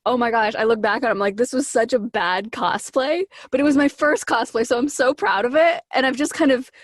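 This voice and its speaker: A woman with a fairly high voice chats as a podcast guest. She is effusive and theatrical, telling casual anecdotes with acted-out asides and emphatic repeats. The recording is dry and close. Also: brisk, no echo.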